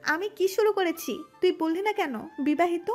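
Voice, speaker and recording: A woman's voice: very high voice, normal speed, darkish tone, faint music behind. A woman playing a hot-tempered, jealous young woman in a dramatised romantic audio story. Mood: furious.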